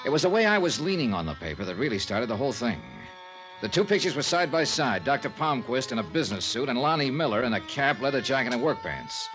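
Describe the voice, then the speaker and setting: A man's voice: mid-range voice, big pitch swings, fast talker, over music, lightly acted, poor audio. A man playing a wry, persistent insurance investigator, narrating and talking in clipped 1950s radio drama style. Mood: wry, measured. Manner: punchy, clipped, conversational. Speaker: narrator and lead detective. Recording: old-time radio recording.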